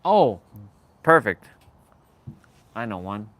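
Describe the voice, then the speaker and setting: Man, from Canada, low voice, casual live-stream chat, rambling, searching for words. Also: very animated, slow, fairly bright tone, no room sound. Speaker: livestream host.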